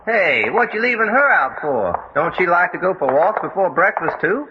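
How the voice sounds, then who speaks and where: Radio-serial sidekick: Southern drawl, high and animated, plucky and wry, noisy recording. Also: male voice, moderate pace, lo-fi sound. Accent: American Southern. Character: folksy, wisecracking young adventurer, easygoing and plucky. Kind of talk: radio mystery serial acting.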